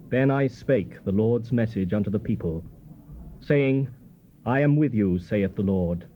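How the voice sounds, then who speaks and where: A male actor plays a stern biblical prophet exhorting his people. His mid-pitched voice is grave and declamatory, with emphatic, measured phrases, on a dull, slightly hissy old tape. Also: lightly acted. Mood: solemn. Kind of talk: dramatized King James scripture reading. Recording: muffled, noisy old cassette tape.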